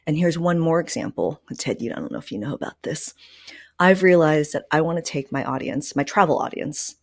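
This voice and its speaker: A woman's voice: low-pitched, animated, a little fast. A woman hosting a podcast, chatting casually and candidly with advice, often dropping in "like" and "you know.". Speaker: podcast host. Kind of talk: casual conversational advice chat.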